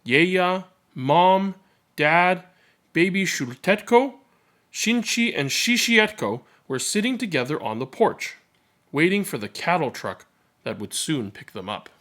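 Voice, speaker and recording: A man reading a children's picture book aloud as narrator, in a measured, expressive and dramatic storytelling manner. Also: fairly high pitch.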